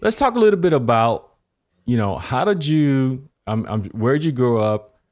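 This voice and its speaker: A man's voice: medium pitch, expressive, normal speed, a little mellow. A man hosting a podcast interview, rambling through his questions with many restarts and fillers. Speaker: podcast host. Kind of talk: casual interview.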